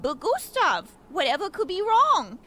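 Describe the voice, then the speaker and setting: A performer playing a boxer's excitable, wordy girlfriend in a theatrical old-time radio comedy. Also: very high-pitched, unhurried, heavily acted. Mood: concerned.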